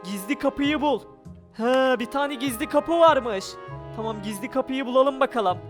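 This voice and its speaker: High pitched gamer, excited play-by-play of everything they do, bit of music behind. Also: normal speed. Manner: exclamatory, narrates every action aloud. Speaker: gaming video creator. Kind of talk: excited gameplay commentary with role-play. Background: background music.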